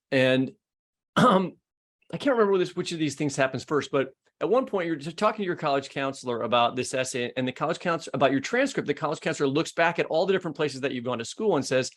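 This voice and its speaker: American male podcast host, fairly high voice, emphatic and discursive, corrects himself as he goes. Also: expressive, fairly quick. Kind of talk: conversational interview questioning.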